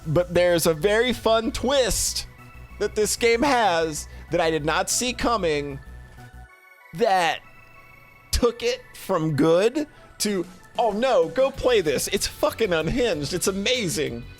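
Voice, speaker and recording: Higher-voiced man, casual streamer with music behind. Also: slow. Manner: expressive, effusive, swears casually. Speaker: streamer reviewing games. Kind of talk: casual rambling commentary to live chat.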